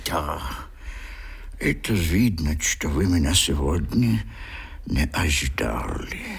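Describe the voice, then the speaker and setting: An actor playing a proud, aggrieved tradesman bridegroom in a radio drama, halting and stiffly courteous. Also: extremely low pitch, lively intonation, gravelly, breathy edge, heavily acted. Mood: cold, hurt. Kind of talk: theatrical Russian radio drama acting.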